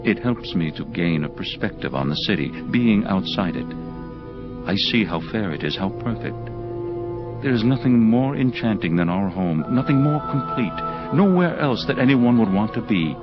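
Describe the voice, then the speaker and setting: An actor playing a proud, intense angel captain of the heavenly host in an audio drama, speaking in a formal, stately way. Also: male voice, on the low side, expressive, moderate pace, lightly acted. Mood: brooding, proud. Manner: formal, stately, contraction-free speech. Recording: muffled lo-fi audio drama with music. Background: prominent music.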